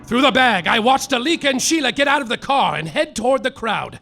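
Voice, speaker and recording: A man playing a hapless hardboiled private detective, narrating in a wry noir deadpan on a live stage. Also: very high-pitched, a little fast, gravelly, faint music behind, exaggerated voice. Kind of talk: live comedic radio-play narration.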